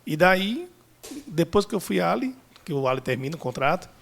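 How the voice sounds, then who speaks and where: Male guest, Bahian accent, relaxed storyteller, slightly higher voice. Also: normal speed, vocal fry. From Salvador, Brazil. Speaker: guest, business analyst. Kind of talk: casual podcast interview.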